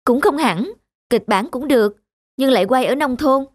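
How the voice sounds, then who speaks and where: A woman voicing a vain, ambitious young woman student actress in an animated series, talking in a boastful, dismissive way. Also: sits high, moderate pace, dry recording, clean studio sound. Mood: scornful. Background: background music.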